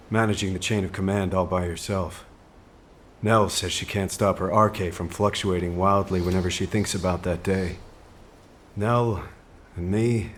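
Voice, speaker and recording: Serious caring military commander for a game, lowish voice, formal n earnest, bit of background noise. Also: male voice. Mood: somber, reflective. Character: composed military officer briefing troops, grave and caring. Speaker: mission commander giving briefings. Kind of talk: scripted video game dialogue.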